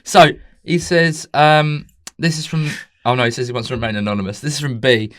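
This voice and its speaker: A man in his twenties hosting a comedy podcast, chatty and quick to laugh as he reads listener letters. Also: medium pitch, a bit bright, no echo, studio quality. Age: 20s. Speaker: podcast host and touring comedian. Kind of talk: casual comedy podcast chat.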